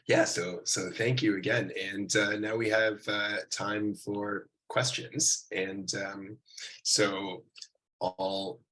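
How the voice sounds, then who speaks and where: A man's voice: lowish voice, bright, forceful tone, poor audio. A man who has partly lost his voice hosts a question session on a video call, with a rambling way of talking and repeated words. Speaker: program host and moderator. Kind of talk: moderating a Q&A session. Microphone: computer mic on a video call.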